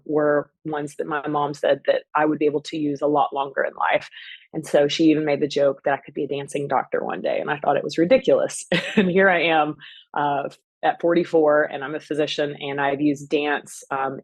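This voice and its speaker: A woman's voice: deep voice, dry recording. A woman in her forties from Texas with a Texan accent, hosting her own show in a loose, rambling solo talk full of fillers and restarts. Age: 40s. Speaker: podcast host, physician. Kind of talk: casual solo monologue.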